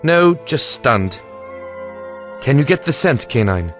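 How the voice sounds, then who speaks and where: A man plays a rueful time-travelling adventurer in an audio drama. He speaks slowly with big swings in pitch, over faint music. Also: very animated. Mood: reassuring.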